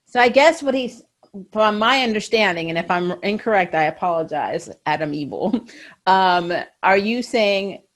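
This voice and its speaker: A woman's voice: mid-range voice, expressive, moderate pace. A woman hosting a casual fan chat, talking in a giggly, enthusiastic way. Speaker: host of a fan interview show.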